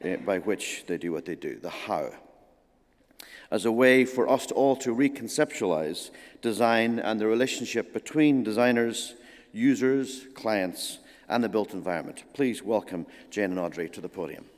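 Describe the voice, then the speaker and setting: A man giving a formal, measured introduction as a forum moderator, pausing often with uh. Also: on the low side, expressive. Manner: measured, halting with frequent uh pauses. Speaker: event moderator introducing speakers. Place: lecture hall at a forum. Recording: long hall reverb.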